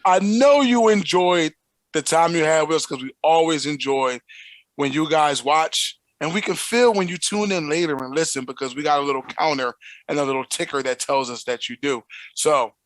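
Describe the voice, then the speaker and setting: Man, Philadelphia accent, animated storyteller, slightly higher voice, dry close sound. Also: moderate pace, no room sound. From Philadelphia area. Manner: animated storyteller, laughs often. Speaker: podcast co-host. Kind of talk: casual chat.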